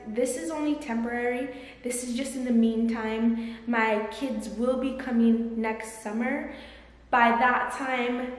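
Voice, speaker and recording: A young woman talks effusively and chattily to her phone camera held at arm's length. Her voice sits a little high. The bare rooms around her give a heavy echo with a long tail. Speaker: vlogger. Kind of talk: casual vlog chat. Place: large empty apartment, indoors. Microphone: handheld phone camera at arm's length. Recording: echoey empty room with long reverb.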